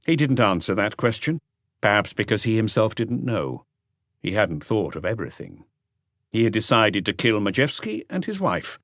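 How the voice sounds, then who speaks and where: A man reading a crime novel as an audiobook, in measured, articulate scripted narration. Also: fairly low pitch, very animated, fairly bright tone, no room sound, clean studio sound.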